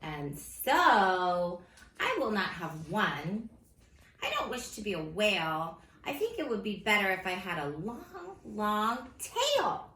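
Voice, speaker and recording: Animated woman reading a silly children's storybook. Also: mid-range voice, takes it slowly. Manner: silly, theatrical, expressive. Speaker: teacher reading story time. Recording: roomy echo with faint background noise.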